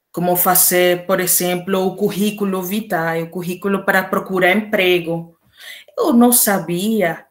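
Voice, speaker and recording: Slow-paced woman with a Venezuelan Spanish accent. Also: medium pitch. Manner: slow, halting, phrase-by-phrase delivery with pauses. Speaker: sign language interpreter and teacher. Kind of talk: voicing a signer's testimony, then commenting on a video.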